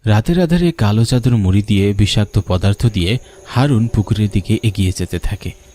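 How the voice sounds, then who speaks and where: A man's voice: fairly low pitch, a little fast, warm tone, a little hiss or hum. A man giving scripted narration for a cartoon story.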